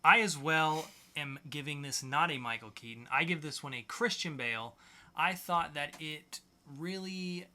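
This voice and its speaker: A man's voice: fairly high pitch, moderate pace, sharp tone. A man co-hosting a podcast, presenting segments and comic book reviews in a casual, chatty style. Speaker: podcast co-host.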